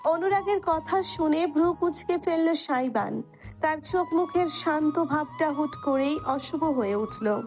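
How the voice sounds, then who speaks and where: High-pitched woman narrating a story. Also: expressive, a little slow, darkish tone, soft background music. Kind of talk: scripted dramatic narration.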